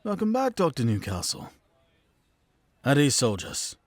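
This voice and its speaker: A man's voice: mid-range voice, huge pitch swings, unhurried, no echo. A man acting an authoritative knight commander in a fantasy audio drama, formal and clipped in his orders. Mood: stern, formal.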